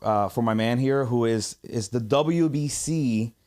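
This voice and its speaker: A man's voice: medium pitch, a little slow, a little mellow. Joking, swearing podcast host, a man. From Puerto Rico. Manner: joking, curses freely, stammers and restarts. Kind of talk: casual interview chat. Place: podcast studio. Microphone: studio mic.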